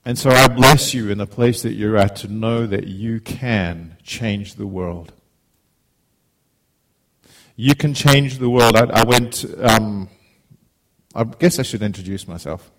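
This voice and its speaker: A man's voice: fairly low pitch, takes it slowly, fairly bright tone, rough recording. A middle-aged man from Zimbabwe, giving an informal, heartfelt sermon as a guest preacher, often repeating words as he starts a phrase.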